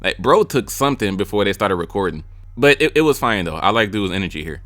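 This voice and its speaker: A man's voice: on the low side, animated, fast talker, fairly bright tone, no echo, clean studio sound. A young man giving blunt, joking casual commentary as a YouTube music reviewer, slipping into mimicry of rappers' lines. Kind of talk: casual commentary ranking freestyles.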